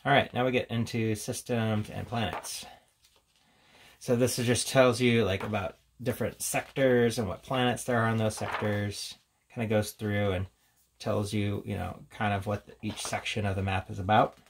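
Male hobby reviewer, lowish and crackly, informal offhand delivery, slight background noise. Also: little pitch movement, normal speed. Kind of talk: casual hands-on book showcase commentary.

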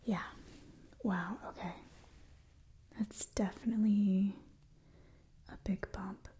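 Breathy whispering young female doctor, acted by a woman. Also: mid-range voice, steady tone, takes it slowly. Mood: concerned. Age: 20s. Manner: gently scolding. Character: caring, chiding young female doctor to mutant heroes. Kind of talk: whispered ASMR medical roleplay. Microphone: close microphone.

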